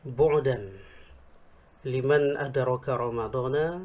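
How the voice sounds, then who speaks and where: Measured preacher with a Javanese lilt. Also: male voice, mid-range voice, lo-fi sound. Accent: Javanese-tinged Indonesian picked up in Yogyakarta. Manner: measured, explanatory, quotes Arabic scripture. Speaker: Islamic preacher, guest. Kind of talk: religious talk show explanation.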